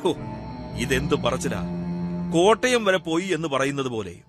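A wry resurrected everyman, teasing, lively swinging voice, radio play, music behind. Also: a little high, normal speed. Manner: chatty, teasing, addresses unseen listeners. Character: wry secular man back from death. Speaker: monologue performer. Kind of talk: radio drama monologue.

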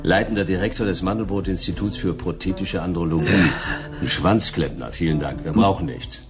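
An actor playing a weary, wisecracking private detective in a radio play, laconic and sardonic in a noir style. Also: male voice, deep voice, brisk, music in the background, natural voice. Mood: sarcastic.

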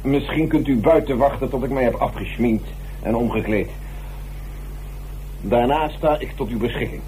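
An actor playing a vain, indignant stage star in a radio drama, with a theatrical, haughty manner. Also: male voice, mid-range voice, moderate pace, warm tone, close to an everyday voice. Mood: haughty, composed. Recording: humming, noisy radio play recording.

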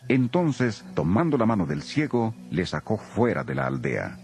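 A man's voice: lowish voice, sing-song, moderate pace, gravelly. A man narrating a dramatized Bible in a solemn storytelling style. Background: soft music.

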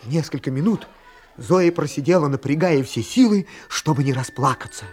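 A man's voice: a little high, lively intonation, moderate pace, darkish tone, natural voice. A man acting as the author's wry narrator, telling a children's radio play expressively. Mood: knowing.

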